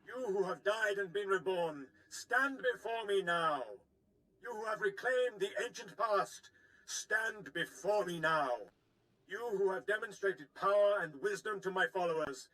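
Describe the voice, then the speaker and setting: An actor playing an imperious, ritualistic cult master in a video game, declaiming in a ceremonial manner. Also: male voice, high-pitched, a little slow, pushed and bright, rough recording. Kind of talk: dramatic video game voice acting.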